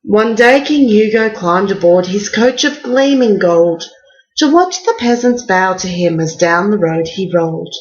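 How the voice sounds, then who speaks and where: A woman reads a rhyming children's bedtime story as its narrator. Her voice sits at a middle pitch and she takes the verse slowly. The recording is slightly dull. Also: lively intonation. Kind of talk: bedtime picture-book reading in rhyming verse.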